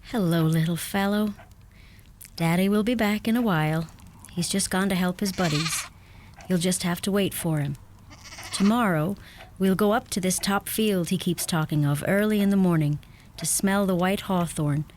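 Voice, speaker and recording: A woman playing a gentle American newcomer to rural Ireland in a radio play. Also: lowish voice, moderate pace, lightly acted. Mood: tender. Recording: noisy radio play, sheep sounds behind.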